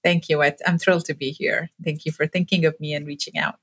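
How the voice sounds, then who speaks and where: A woman in her forties from Pakistan, raised in Abu Dhabi, telling her story as an interview guest in an earnest, measured way with hesitant fillers. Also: low-pitched, fairly even intonation, a bit bright. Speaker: guest, author and medical student.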